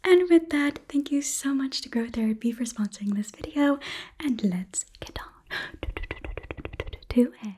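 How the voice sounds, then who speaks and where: A woman whispering a sponsor read in ASMR style, softly and deliberately, with a reassuring delivery. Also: sits high, expressive, slight background noise. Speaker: ASMR creator and host.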